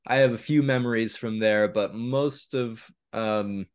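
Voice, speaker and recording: Low-voiced man, slightly raspy from a cold. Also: unhurried. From eastern Oregon, USA. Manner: relaxed, hesitant with frequent ums. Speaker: guest podcaster. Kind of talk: casual interview chat.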